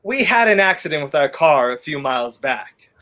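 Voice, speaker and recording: A man's voice: a little high, big pitch swings, normal speed, a bit bright. A man playing a brash, sardonic, commanding fugitive in a 1930s radio play. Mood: brisk, conspiratorial. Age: young adult. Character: brash wrongly accused man on the run, sardonic, urgent.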